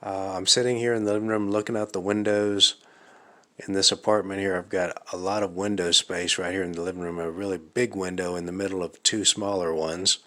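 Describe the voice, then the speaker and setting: Man, slightly raspy, low-pitched, bright and forceful tone, slow halting casual vlog talk. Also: little pitch movement. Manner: slow, halting, thinking aloud with many fillers. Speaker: vlogger. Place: living room of an apartment at night.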